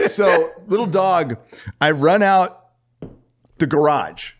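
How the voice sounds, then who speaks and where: A middle-aged man from California telling a story as a podcast guest, animated and profane, laughing as he goes. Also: high voice, extremely slow. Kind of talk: casual storytelling.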